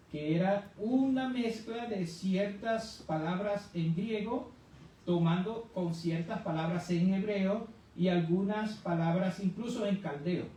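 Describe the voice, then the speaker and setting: A man's voice: high voice, warm tone. A man giving a conversational Bible lesson as a church teacher, explaining didactically and putting rhetorical questions to his listeners. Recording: very echoey room, distant mic, faint noise.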